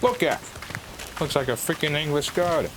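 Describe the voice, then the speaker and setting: A man playing a gruff, wry older treasure hunter with folksy slang in an audio drama. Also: fairly high pitch, normal speed, noisy background, lightly acted. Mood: grumbling.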